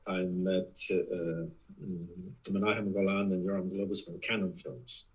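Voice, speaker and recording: A man in his sixties from Poland with a Polish accent, talking as a guest in a casual interview, halting with many fillers and restarts. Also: sits low, steady tone, husky, low-quality recording. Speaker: guest, production designer.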